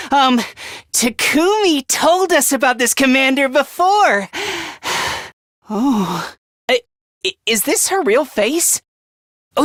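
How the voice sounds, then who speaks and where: A man voicing a timid, self-deprecating yet excitable teenage boy in a video game, with a hesitant, stammering delivery and theatrical anime-style acting. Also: very high-pitched, dry recording, clean studio sound, heavily acted. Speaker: voice actor.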